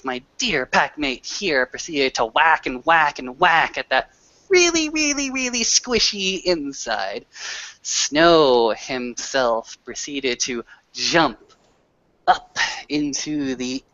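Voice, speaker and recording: A man plays a proud werewolf warrior in a tabletop roleplay, recounting battle with theatrical emphasis. The delivery is very slow and forceful, in a slightly high male voice, heard dry through dull audio. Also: no echo, exaggerated voice. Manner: emphatic, theatrical, repeats words for effect. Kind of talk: dramatic in-character storytelling.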